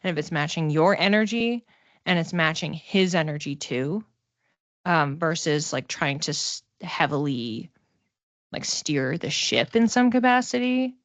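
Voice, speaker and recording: A woman giving a casual one-to-one card reading as a psychic, talking conversationally and haltingly, circling back over phrases as she thinks aloud. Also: low voice, lively intonation, no echo. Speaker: psychic reader.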